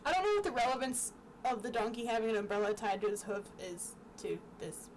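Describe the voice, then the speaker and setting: Young woman, higher voice, offhand commentary. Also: fairly quick, rough recording. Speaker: storytime co-host commenting on pictures. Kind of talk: casual commentary. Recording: faint background noise.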